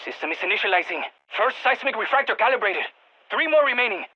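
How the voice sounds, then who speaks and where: Game role: excitable scientist, Spanish accent, very animated, husky, slightly muffled radio sound. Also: male voice, high-pitched, quick pace, full character voice. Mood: pleased. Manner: animated, exclamatory, peppers speech with Spanish interjections. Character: excitable, quirky male exogeologist supporting a military strike team. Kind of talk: video game voice acting, mission radio callouts.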